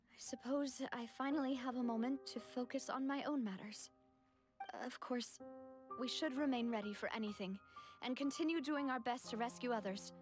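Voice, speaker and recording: Actress as a high-voiced, earnest young noblewoman. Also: natural voice. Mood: composed, dutiful. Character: young noblewoman leader, grieving but dutiful. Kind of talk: anime-style video game drama acting. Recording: music behind.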